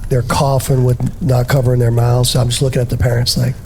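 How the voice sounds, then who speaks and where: A raspy-voiced man from Rhode Island, hoarse from a cold, chatting easily as a musician guest on a podcast. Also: medium pitch, monotone, fast talker, dark tone, slight background noise. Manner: easygoing, rambling, laughs often. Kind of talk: casual podcast chat.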